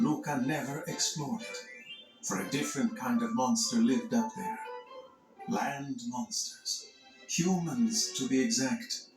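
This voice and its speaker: Male children's read-along narrator: expressive, dramatic, medium pitch, music bed underneath. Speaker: storybook narrator. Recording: echoey narration over music.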